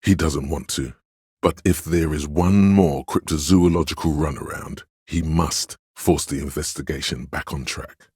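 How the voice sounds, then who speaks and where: Very deep, imperious inner voice. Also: male voice, a little mellow, breathy edge, dry recording, clean studio sound, full character voice. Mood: measured. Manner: pompous, imperious, measured. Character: stern, domineering inner voice of authority, haughty and commanding. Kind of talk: dramatic game narration.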